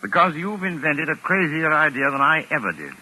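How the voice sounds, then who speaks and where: Proper radio-drama doctor: precise, sceptical, animated delivery, cutting and a little husky. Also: male voice, a little high, fairly quick, heavily acted, poor audio. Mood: dry, probing. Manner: precise, formal, questioning. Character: well-spoken, dryly incredulous gentleman posing as doctor. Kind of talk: 1940s radio drama acting. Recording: vintage 1940s radio recording.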